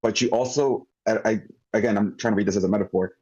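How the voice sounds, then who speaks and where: Male, deep and mellow, halting off-the-cuff critique, lo-fi online call sound. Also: steady tone, breathy edge. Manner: halting, circling back mid-thought. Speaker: table read participant giving script feedback.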